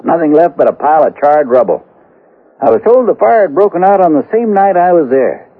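An actor playing a rueful western lawman who recounts a manhunt in a measured, deliberate way, as radio drama. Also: fairly high pitch, very animated, phone-quality sound. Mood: grave, reflective. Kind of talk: old-time radio drama narration.

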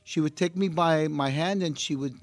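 A man whose first language is English is speaking halting Spanish in a casual interview. He keeps searching for words and drifts back into English. His voice sits slightly high. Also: no echo, soft background music. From United States. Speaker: actor guest.

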